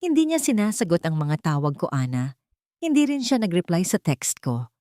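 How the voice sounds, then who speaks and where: A woman's voice: fairly low pitch, very animated, normal speed, dark tone, dry recording, clean studio sound. A woman voicing a kind, wealthy young woman who speaks earnestly.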